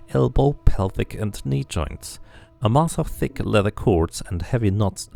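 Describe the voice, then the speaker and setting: A man's voice: fairly low pitch, gravelly, darkish tone. A man streaming a video game, reading its text aloud like a narrator and muttering casual asides to himself.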